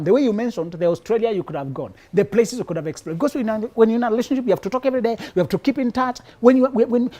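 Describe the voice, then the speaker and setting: A male psychologist from Kenya gives relationship advice on a live show. His pitch swings widely as he talks. He sounds preachy and playful, often breaking into laughter. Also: mellow. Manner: preachy, laughs often, teasing punchlines, repeats words. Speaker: psychologist guest.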